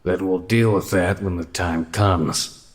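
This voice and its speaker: Guy playing amateur actor in an audio drama, kinda low voice, short matter of fact lines. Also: fairly even intonation, slow. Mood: terse, brooding.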